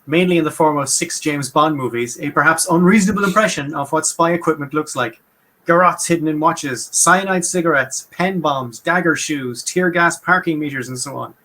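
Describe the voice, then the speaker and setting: A man with an Irish Munster accent, talking through a topic on a casual podcast, quick and wry. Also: on the high side, sharp tone. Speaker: podcast host. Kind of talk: casual explanatory chat.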